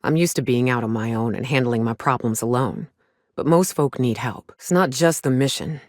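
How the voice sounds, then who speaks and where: A woman's voice: rumbling low, normal speed, darkish tone, no room sound, clean studio sound. Blunt, weary frontier woman. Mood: disillusioned. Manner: blunt, plainspoken, folksy, sweary. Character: weary, cynical but caring frontier woman, hard-drinking hunter. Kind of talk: video game character dialogue.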